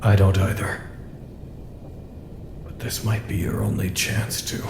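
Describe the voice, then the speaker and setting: A man acting in an audio drama as an anguished, guilt-ridden worker at a doomed secret facility, halting and earnest. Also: lowish voice, little pitch movement, reverberant, background noise. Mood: awkward, gentle.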